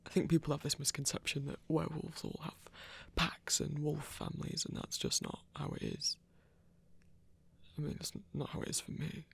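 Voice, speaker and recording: Lonely, awkward werewolf for ASMR audio drama, played by a performer: mid-pitched, husky voice with fry, gentle and stammering. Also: little pitch movement, normal speed. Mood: lonely, subdued. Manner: hesitant, stammering. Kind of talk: intimate ASMR audio roleplay.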